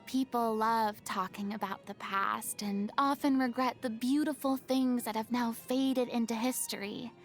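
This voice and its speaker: A young game heroine: high, unhurried, haughty former stage star, refined and dramatic, wistful underneath. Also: female voice, fairly even intonation, soft background music. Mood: wistful, confiding. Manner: theatrical, refined, haughty diction with dramatic swings. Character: theatrical young woman, proud, dramatic former stage idol, secretly wistful. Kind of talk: video game character voice lines.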